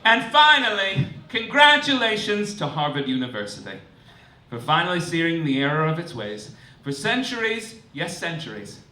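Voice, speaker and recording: Campy guy doing standup, pitch all over the place, theatrical, sounds far from the mic. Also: sharp tone. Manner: campy, theatrical, swooping emphasis. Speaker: stand-up comedian hosting a live show. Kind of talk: satirical stand-up monologue, mock-formal announcements. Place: indoor cabaret club stage. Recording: roomy live stage, distant, clearly noisy.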